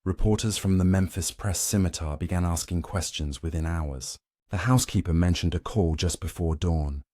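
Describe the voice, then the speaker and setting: A man's voice: low voice, normal speed, mellow, no room sound, studio quality. A man narrating a scripted dramatic story in a solemn, suspenseful way, in short weighted phrases.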